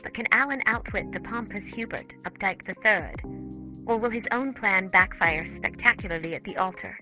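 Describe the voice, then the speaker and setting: A woman's voice: mid-range voice, normal speed, low-quality recording. Woman narrator over prominent music. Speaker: presenter. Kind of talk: scripted narration, story introduction. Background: loud background music.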